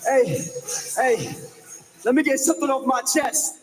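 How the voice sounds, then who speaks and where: A boastful wrestler shouts his promo to the crowd in a very high voice. His words ring through a long arena echo. Music sits faintly underneath. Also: unhurried. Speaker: pro wrestler cutting a promo. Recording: echoey arena hall.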